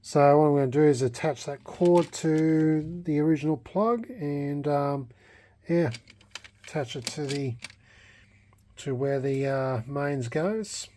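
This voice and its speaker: A man narrating a casual, unscripted hobby vlog in a hesitant, halting way, thinking aloud as he goes. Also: a little high, dark tone, slight background noise. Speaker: hobbyist vlogger restoring a vintage radio.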